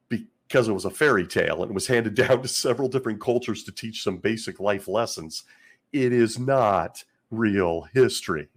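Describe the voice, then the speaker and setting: Deep-voiced male host, hoarse and scratchy from being sick, wry and sarcastic delivery. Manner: wry and sarcastic, chuckling often. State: hoarse from illness. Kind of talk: casual commentary vlog.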